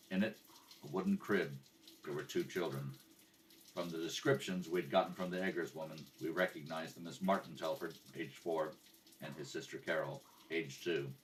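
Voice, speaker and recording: A man playing a calm, matter-of-fact police detective in a radio drama, deadpan and patient. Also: very deep voice. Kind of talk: 1950s radio detective drama, clipped narration and dialogue. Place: kitchen at home. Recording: homemade, distant mic with background noise.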